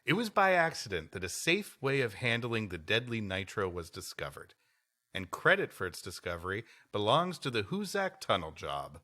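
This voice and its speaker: A man's voice: on the low side, huge pitch swings, normal speed, pushed and bright. A man co-hosting a comedy podcast, reading history aloud and bantering around it. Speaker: podcast co-host.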